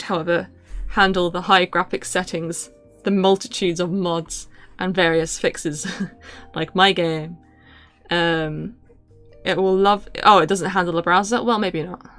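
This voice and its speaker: Rambling woman streamer, deep-ish voice. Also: a bit bright. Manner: rambling, self-mocking, hums little tunes. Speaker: livestreamer. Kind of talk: casual game-stream chat.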